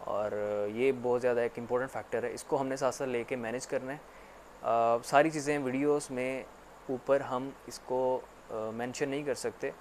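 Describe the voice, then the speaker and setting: Male tutor, mid-pitched, rambling casual lecture, faint hiss behind. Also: fairly even intonation, moderate pace, a little mellow. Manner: repetitive, filler-heavy explaining with frequent "actually". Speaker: English teacher.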